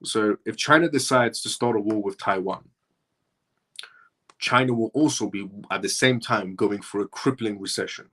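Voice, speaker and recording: Husky man musing in a livestream. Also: lowish voice, normal speed, bright, forceful tone. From Iran. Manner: rambling, repeats his points. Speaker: live show host. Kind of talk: casual livestream commentary.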